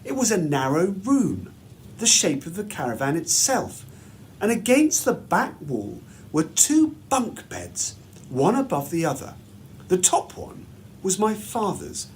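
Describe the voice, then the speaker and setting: A man reads a children's story aloud in a measured, deliberate, expressive way, like a headmaster at storytime. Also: high-pitched, a little hiss or hum.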